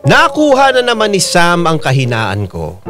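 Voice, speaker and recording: A man's voice: high voice, wildly swooping pitch, normal speed. A man performing dramatic scripted radio narration as a confessional storyteller, voicing a guilty, infatuated spouse. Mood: confessional, wistful. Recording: loud piano music bed.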